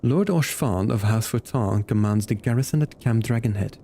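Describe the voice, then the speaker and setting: A man voicing a grave, formal fantasy lord from a video game, speaking in a measured, courtly way. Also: fairly low pitch, mellow. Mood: formal, helpful. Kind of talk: reading game dialogue aloud in character.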